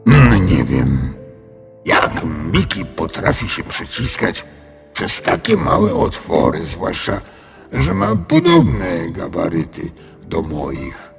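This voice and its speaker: A man's voice: sits low, huge pitch swings, slow pace, vocal fry, faint music behind, voice pushed down, exaggerated voice. A man voicing a big, friendly, bumbling male dragon in a children's audio story, with hesitant, drawling, sheepish speech. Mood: bumbling, puzzled.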